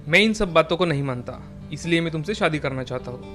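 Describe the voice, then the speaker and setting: A man's voice: on the high side, expressive, fast, a bit bright, soft background music. A man playing an earnest, principled young well-off suitor and son in a story, speaking firmly and sincerely. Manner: earnest, firm. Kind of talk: Hindi moral story dialogue.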